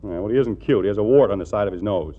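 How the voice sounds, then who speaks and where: An actor playing an exasperated, jealous husband in a 1940s radio comedy, sarcastic and indignant. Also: male voice, lowish voice, rapid-fire, close to an everyday voice. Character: exasperated, jealous husband posing as wife's brother. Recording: muffled old 1940s radio recording.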